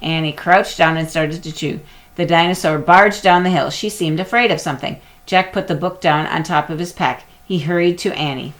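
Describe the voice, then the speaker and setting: A woman narrating a children's chapter book aloud in an expressive storytelling manner. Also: low voice, normal speed. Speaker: storybook reader.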